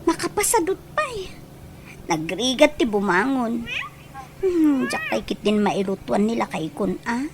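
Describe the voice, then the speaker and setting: A woman playing a lazy, demanding pregnant wife who pleads in a drawn-out whine, in a comedic radio drama. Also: fairly high pitch, very animated, background noise. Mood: sulky.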